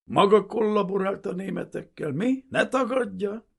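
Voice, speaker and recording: A man's voice: sits high, expressive, vocal fry, fairly bright tone, slightly rough, pitched above the performer's own voice, full character voice. A man voicing a cruel, sneering young Soviet lieutenant who snarls and barks with contempt in a storybook reading. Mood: furious, accusing.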